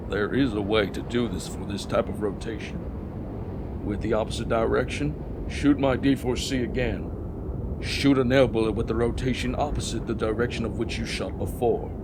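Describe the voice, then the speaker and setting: A man's voice: medium pitch, natural voice. A man playing a grave, calculating president in an anime fan dub, a villain speaking in a formal, persuasive manner, bargaining for his life. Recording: background noise behind voice.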